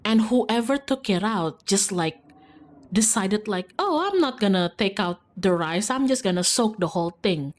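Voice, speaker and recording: A woman's voice: medium pitch, expressive, moderate pace. A young woman from Indonesia, streaming and telling a rambling story about share-house life, full of "like" and restarts. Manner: rambling, filler-heavy, lots of "like". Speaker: VTuber streamer. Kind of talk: casual storytelling while gaming.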